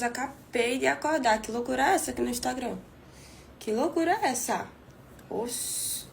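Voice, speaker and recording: A woman, talking casually and defensively to her followers, blunt and expressive. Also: high-pitched, gravelly, a little hiss or hum. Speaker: reality show participant. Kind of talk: casual social media video.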